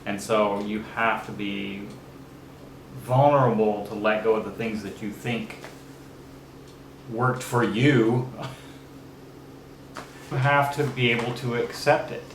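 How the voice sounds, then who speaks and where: Calm man in his fifties, lowish voice. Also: takes it slowly, pauses often. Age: 50s. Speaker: father in family channel. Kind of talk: casual chat. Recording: echoey room with faint noise.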